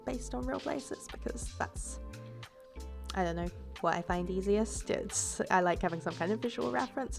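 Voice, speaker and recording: A woman's voice: mid-range voice, gravelly. A woman with a stuffy voice from a cold, rambling casually through a voice-over about her build, with fillers and self-corrections. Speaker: game builder and YouTuber. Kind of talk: relaxed voice-over commentary on a building video.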